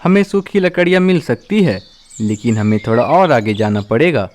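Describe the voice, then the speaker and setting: A man's voice: medium pitch, lively intonation, moderate pace, a little mellow, lightly acted. A man voicing a chatty crow hotel keeper. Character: male crow, easygoing hotel owner. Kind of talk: cartoon voice acting.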